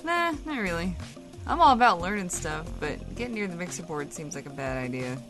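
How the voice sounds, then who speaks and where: A woman playing a curious but bossy radio guest in a comedy audio drama. She banters bluntly and teases, her voice lively and varied in pitch, with soft music behind. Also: brisk, lightly acted. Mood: teasing curiosity. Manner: blunt, teasing, profane. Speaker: guest co-host.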